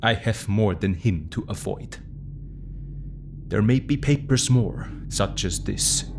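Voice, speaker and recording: Older doctor, secretive and serious, quaint phrasing, voice swings up and down, audio drama. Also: male voice, on the low side, expressive, vocal fry, background noise, exaggerated voice. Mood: firm, secretive. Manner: deliberate, quaintly inverted foreign phrasing. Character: grave, learned older foreign male doctor, secretive and courteous.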